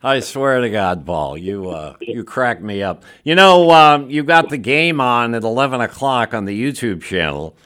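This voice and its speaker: A man's voice: mid-range voice, expressive, moderate pace, hardly any pauses, fairly bright tone. A man filling in as a radio host, chatting casually in a folksy, bantering way. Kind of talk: casual local radio talk.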